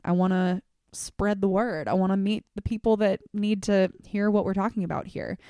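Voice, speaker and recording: A woman's voice: lowish voice, darkish tone, smooth, no echo, clean studio sound. A young adult woman hosting a solo podcast, chatting earnestly and welcomingly with casual fillers, her voice a little congested from a cold. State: slightly congested from a cold or allergies. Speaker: podcast host.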